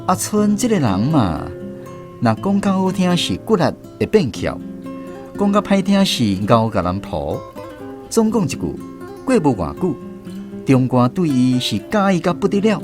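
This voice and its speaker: Wry ex-army narrator, Taiwanese accent, higher-pitched, lively intonation, radio drama, music underneath. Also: male voice, a little slow. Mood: wry, reflective. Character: former army serviceman recounting barracks memories, wry storyteller. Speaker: narrator, a former soldier in the story. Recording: music bed behind the voice.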